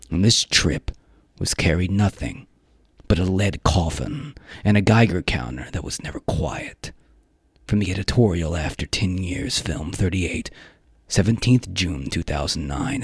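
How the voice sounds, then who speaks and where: A man narrating a live radio drama in a theatrical manner, with measured pauses and a musical score behind him. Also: sits low, husky, no room sound, clean studio sound.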